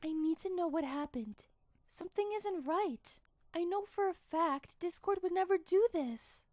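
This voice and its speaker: A woman voicing a gentle, earnest young cartoon pony princess who pleads soft-heartedly. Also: very high-pitched, lively intonation, unhurried, no echo, clean studio sound. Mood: grieving, upset.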